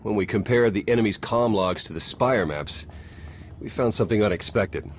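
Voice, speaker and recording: An actor playing a keen, sardonic intelligence agent in a video game, with a dry, brisk briefing manner. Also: male voice, on the low side, a little hiss or hum, lightly acted. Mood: intent, briefing.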